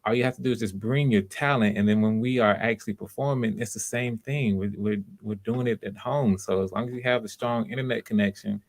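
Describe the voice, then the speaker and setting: Man, lowish pitch, casual live-stream host, conversational, rambling. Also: steady tone, a little fast, hardly any pauses. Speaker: audition host.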